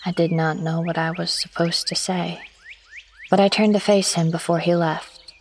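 A woman playing a proper, obedient young schoolteacher who reads her diary in formal, old-fashioned, measured diction as horror narration. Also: on the low side, flat delivery. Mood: prim, wistful. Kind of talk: dramatic first-person audio horror narration. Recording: studio narration over prominent music.

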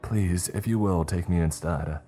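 A man voicing an earnest, naive scout leader who shouts orders and pleads. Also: low voice, unhurried, faint music behind. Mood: pleading. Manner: urgent, pleading.

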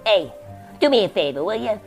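Comic sidekick: male actor playing a cynical, interrupting fish, very lively pitch, faint music underneath. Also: brisk, vocal fry, heavily acted. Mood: sarcastic. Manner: sarcastic, interrupting, blunt. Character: wisecracking male talking fish, cynical conspiracy heckler.

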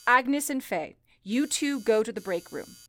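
A woman playing a calm, commanding telephone switchboard supervisor in an old-time radio play, with a deliberate, authoritative way of speaking. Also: fairly high pitch. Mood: firm, organizing. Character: calm, commanding female telephone operator supervisor in wartime-like crisis.

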